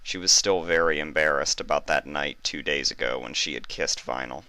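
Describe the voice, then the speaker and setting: A man narrates a story from a script. His voice is extremely deep, yet bright and forceful, and recorded very dry. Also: normal speed, no room sound.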